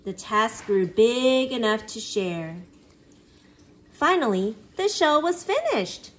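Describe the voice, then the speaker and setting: Animated high-voiced woman reading a storybook. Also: slow pace. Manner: animated, acting out exclamations and sound effects. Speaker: parent reading a picture book aloud. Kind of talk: expressive children's story read-aloud. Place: living room at home.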